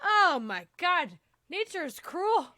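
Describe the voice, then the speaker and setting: A woman's voice: extremely high pitch, big pitch swings, slow pace, bright, forceful tone, dry recording, clean studio sound. A woman in her late twenties, co-hosting a casual chat with playful, sarcastic jokes. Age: late 20s. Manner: playful, joking, sarcastic asides. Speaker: podcast co-host.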